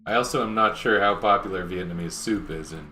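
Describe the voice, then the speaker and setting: A man's voice: sits low, a little fast, music in the background. Teasing man in a roomy echo. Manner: dry teasing, mock panic. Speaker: companion watching the game. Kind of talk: teasing backseat chat.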